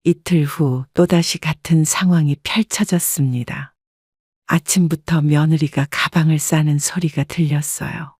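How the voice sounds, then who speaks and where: A woman narrating her own family story in the first person, calm and reflective. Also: sits low, unhurried, husky, no room sound, clean studio sound.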